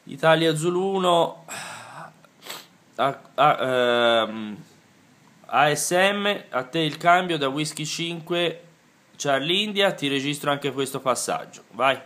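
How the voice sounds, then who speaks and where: A man's voice: a little high, expressive, takes it slowly, fairly bright tone. Man chatting as a radio operator. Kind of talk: casual ham radio exchange. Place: indoor room beside vintage radio sets.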